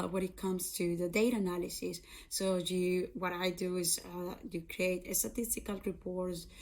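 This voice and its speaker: A woman's voice: lowish voice, a little mellow. A woman in her thirties from Colombia with a Colombian Spanish accent, giving an earnest personal talk about her career with frequent fillers and restarts. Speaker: software engineer and data scientist.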